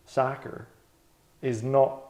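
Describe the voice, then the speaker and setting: A man's voice: mid-range voice, little pitch movement, extremely slow, a little mellow. A man hosting a table read, with a wry, rambling way of talking and stage-direction narration. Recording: echoey room sound.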